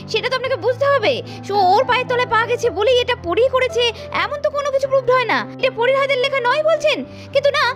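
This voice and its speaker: A woman tells a cartoon story in a very high voice and at a brisk pace, voicing several characters and scolding sharply. Music plays steadily under her voice. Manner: scolding, shifts between narration and character voices.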